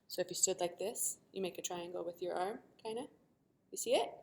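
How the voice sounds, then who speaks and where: A woman teacher reading a storybook expressively to children, pausing to explain simply and ask questions. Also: fairly low pitch, gravelly. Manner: animated, asks the listeners questions.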